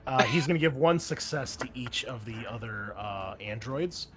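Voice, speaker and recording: A man's voice: mid-range voice, expressive, moderate pace. A man running a tabletop game as game master, narrating informally with wry asides and casual swearing. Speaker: game master and host of an actual-play show. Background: background music.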